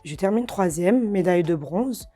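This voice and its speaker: A woman, answering interview questions casually and hesitantly, with many fillers and soft laughs. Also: lowish voice, animated, moderate pace, faint music behind. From Réunion. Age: 30s. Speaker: karate champion guest.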